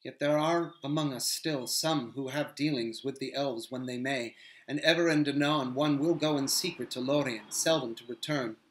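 A man voicing a grave, noble male captain of men who speaks slowly and softly. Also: mid-range voice, natural voice. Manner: slow, soft, measured. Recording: roomy echo.

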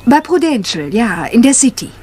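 An actress playing a bossy, self-important middle-class housewife with a forthright manner in a German radio crime drama. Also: female voice, a little high, big pitch swings, moderate pace, darkish tone, slightly rough. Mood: flattered.

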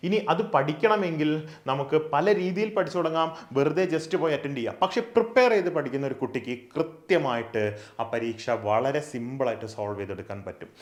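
A young man teaching an exam prep lesson. He asks questions and answers them himself, and he keeps adding quick tag questions. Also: a little high, lively intonation. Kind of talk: explainer lesson on an exam, mixing English terms. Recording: echoey room.

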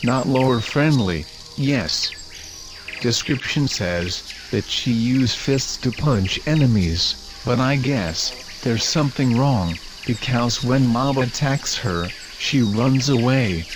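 A man's voice: mid-range voice, animated, slow pace, noisy background. A synthetic male text-to-speech voice reading a scripted video review in a mechanical way. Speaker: video narrator reviewing game mods. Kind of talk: scripted narration.

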